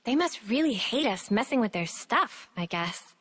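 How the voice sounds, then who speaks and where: A woman playing a gentle, curious young soldier with a breathy voice in a video game cutscene. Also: a little high, very animated, normal speed. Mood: musing.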